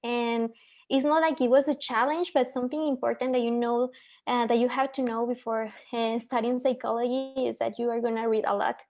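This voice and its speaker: A woman's voice: fairly high pitch, steady tone, fairly quick, darkish tone. A woman whose first language is Spanish, speaking English with a Mexican Spanish accent as a panelist, earnest and reflective with hesitant restarts. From northern Mexico. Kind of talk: webinar panel discussion.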